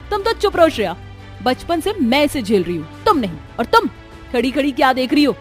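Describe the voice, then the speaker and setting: Woman acting a haughty young woman, high voice, very lively swings, scolding, spiteful, cartoon drama. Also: a little fast, soft background music. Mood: scornful. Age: young adult. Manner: sneering, snappish, scolding. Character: haughty, spiteful fair-skinned young sister. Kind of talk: Hindi cartoon story acting.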